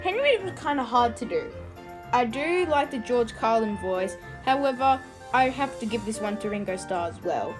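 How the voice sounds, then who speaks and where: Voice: mid-range voice, lively intonation, moderate pace, over music. A video host giving informal, opinionated commentary in a casual style.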